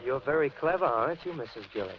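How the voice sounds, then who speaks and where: A muffled, noisy vintage radio suspense recording. A man acts out a menacing American intruder who speaks quickly and with cold control, taunting and threatening his captive. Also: mid-range voice, expressive, natural voice. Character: menacing male intruder holding an old woman captive.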